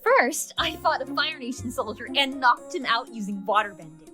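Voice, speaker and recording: A woman voicing a principled teenage waterbender girl, speaking in an earnest, expressive way, in a cartoon dub. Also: high-pitched, normal speed, faint music behind. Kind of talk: fan comic dub acting.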